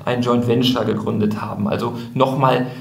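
A man's voice: mid-range voice, flat delivery, moderate pace, gravelly. A man speaking as the guest in a podcast interview, explaining things thoughtfully and restarting sentences often. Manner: thoughtful, many fillers and restarts. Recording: roomy echo.

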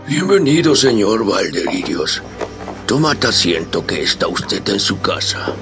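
A man's voice: fairly low pitch, very animated, a little slow, gravelly, over music, exaggerated voice. A man playing a courteous aging noble father in a historical audio drama, speaking formally.

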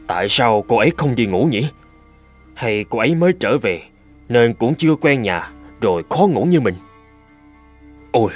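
An actor playing an anxious, kindly middle-aged homeowner in a cartoon ghost story, given to dramatic talking to himself. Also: male voice, mid-range voice, husky. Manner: expressive, dramatic, muttering to himself. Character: anxious, kindly older male homeowner, newly moved in.

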